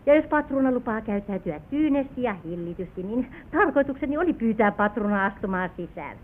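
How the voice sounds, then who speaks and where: An actress playing a composed, authoritative midwife in old Finnish radio play style, formal, firm and instructing. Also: female voice, fairly high pitch, expressive. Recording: tinny, narrow old radio recording.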